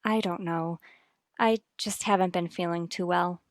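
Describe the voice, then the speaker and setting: A woman's voice: lowish voice, steady tone, unhurried, dry recording, studio quality, close to an everyday voice. A woman playing a troubled, guarded wife and mother in an old-time radio soap, restrained and evasive in manner. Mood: guarded, weary.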